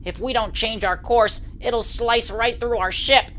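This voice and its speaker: A woman playing a deferential, alarmed spaceship officer in an animated story, formal and urgent. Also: on the high side, a little slow, slight background noise. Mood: formal alert.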